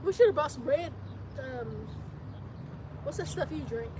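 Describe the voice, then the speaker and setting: A woman's voice: high-pitched, expressive, unhurried. A woman with a Southern US accent co-hosting a casual outdoor live stream, teasing and laughing often. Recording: noisy outdoor stream audio.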